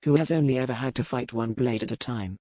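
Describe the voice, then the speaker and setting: A man playing a proud, battle-hardened English lord and pirate in a historical romance audiobook, speaking in formal, measured phrases. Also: mid-range voice, darkish tone, dry recording, studio quality, natural voice. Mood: proud, sardonic.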